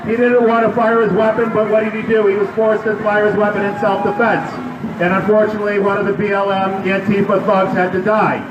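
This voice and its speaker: A man delivering a loud protest speech at an outdoor rally, firing questions at the crowd. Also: sits high, little pitch movement, a little fast, lo-fi sound, background noise. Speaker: rally speaker. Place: outdoor city square. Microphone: public address system heard from a distance. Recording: echoey outdoor PA from far off.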